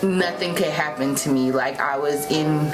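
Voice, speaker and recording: A woman's voice: sits low, moderate pace. A young woman tells a personal story in casual conversation, acting out what was said as she goes. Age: young adult. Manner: animated retelling with acted-out quotes. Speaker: vlogger, new mother. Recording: loud music behind the voice.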